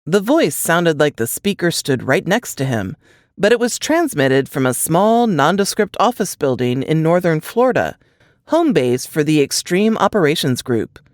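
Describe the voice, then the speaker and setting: A woman narrates scripted fiction like an audiobook. Her intonation moves widely and keeps the reading animated. The sound is very dry, without room echo. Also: low voice, moderate pace.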